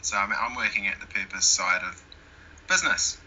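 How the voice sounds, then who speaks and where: A man's voice: lowish voice, animated, normal speed, sharp tone, slight background noise. A man with a New Zealand accent, a guest talking casually in an interview. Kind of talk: conversational interview. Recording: dull lo-fi sound.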